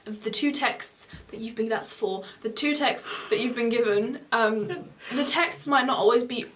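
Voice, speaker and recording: A woman's voice: a little high, normal speed, a little hiss or hum. Young woman, casual student explaining exam tips in a small room, chatty. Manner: chatty, animated hand gestures, self-correcting. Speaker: student giving exam advice. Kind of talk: casual advice chat on camera. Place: small home room, indoors. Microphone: camera in front of two seated speakers.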